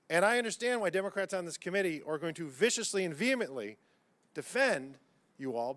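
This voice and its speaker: A man's voice: fairly high pitch, fairly bright tone. A man speaking as a congressman at a hearing, questioning witnesses in a pointed, combative and folksy way. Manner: folksy, combative, uses y'all.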